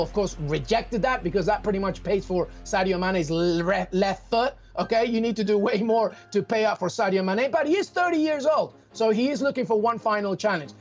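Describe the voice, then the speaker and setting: A man from Peru giving emphatic soccer analysis on a sports show, talking fast and using rhetorical questions. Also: sits high.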